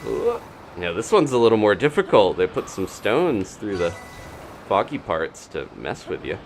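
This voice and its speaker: A man's voice: fairly low pitch, big pitch swings, normal speed, over music, poor audio. A man doing live gameplay commentary as a streamer, chatting casually and thinking aloud in a rambling way. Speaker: video game streamer.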